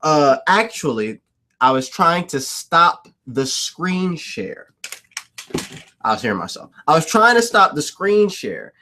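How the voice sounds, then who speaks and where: A man's voice: fairly high pitch, big pitch swings, slow, sharp tone, a little hiss or hum. A man hosting a casual livestream, who laughs often, repeats himself and answers his chat. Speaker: livestream host.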